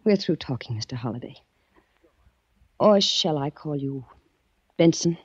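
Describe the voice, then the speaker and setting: A woman's voice: sits low, animated, a little slow, slightly rough, no room sound, full character voice. An actress playing a vengeful young woman holding a man at gunpoint, in clipped, threatening 1940s radio acting. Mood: cold threatening. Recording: dull old radio broadcast.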